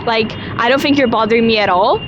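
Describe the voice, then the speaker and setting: A woman's voice: a little high, fast, highs cut off. A woman in her twenties from Brazil with a Brazilian Portuguese accent, a university student chatting casually with lots of "like" in a street interview. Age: 20s. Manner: relaxed, chatty, heavy use of "like". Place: city street outdoors. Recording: street noise behind.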